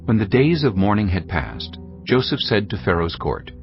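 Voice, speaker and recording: Deep, expressive male scripture narrator. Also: moderate pace. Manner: solemn, storytelling. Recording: slightly dull audio with music behind. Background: music.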